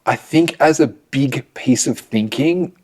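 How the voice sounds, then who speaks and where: A man's voice: mid-range voice, takes it slowly. A man with an Australian accent, talking casually in an interview. He answers with easy humor and pokes fun at himself. He speaks as a program director describing his work. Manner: relaxed, self-deprecating humor.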